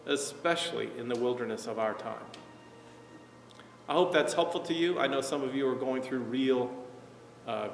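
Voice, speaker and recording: A man in his sixties, a pastor from New Jersey, giving a reflective, conversational sermon from an armchair, sometimes dropping to a soft hush. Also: mid-range voice, gravelly, slight background noise. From New Jersey, USA. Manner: reflective, gestures while talking, drops to a soft hush at key points. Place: living room, seated in armchair. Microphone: far camera. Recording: roomy echo, distant mic, faint music.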